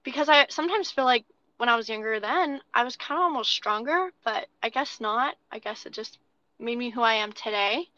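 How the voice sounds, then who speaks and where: Bright, high-voiced young American woman, muffled audio. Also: animated, a little fast. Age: young adult. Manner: earnest, chatty, informal. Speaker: podcast guest. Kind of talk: casual interview chat.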